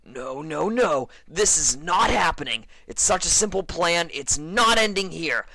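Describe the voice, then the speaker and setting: A man voicing a proud, stubborn, frustrated young pegasus stallion with loud, strained exclamations, in a fan fiction story reading. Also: fairly high pitch, animated, a little slow, no echo, voice pushed up, heavily acted, rough recording. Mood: defiant, desperate.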